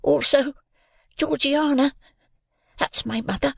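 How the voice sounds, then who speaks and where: A man's voice: very high voice, unhurried, vocal fry, fairly bright tone, no echo, clean studio sound, voice pushed up, exaggerated voice. A man voicing a small, frightened orphan boy in a storybook, timid, polite and pleading.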